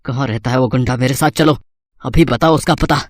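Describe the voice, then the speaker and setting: A man's voice: medium pitch, fairly quick, dry recording, studio quality, natural voice. A man playing an emotional, righteous uncle in a cartoon moral story, speaking in an impassioned way. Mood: outraged. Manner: impassioned, accusing. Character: righteous, emotional uncle outraged over his lost niece.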